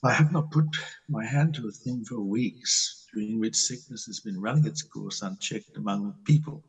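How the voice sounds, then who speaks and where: Man acting husky, ardent country doctor, distant mic. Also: lowish voice. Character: disillusioned, lovelorn country doctor, ardent and cynical. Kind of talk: amateur dramatic play reading.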